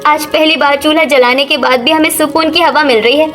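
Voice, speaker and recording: A woman's voice: high-pitched, steady tone, brisk, a bit bright, faint music behind. A woman voicing a sensible, encouraging elder village daughter-in-law in a Hindi cartoon story. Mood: relieved.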